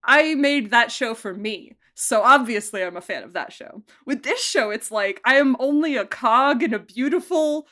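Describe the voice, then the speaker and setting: A woman being interviewed as an audio drama director, talking casually and enthusiastically like an animated storyteller. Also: a little high, moderate pace, hardly any pauses. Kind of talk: casual behind-the-scenes chat.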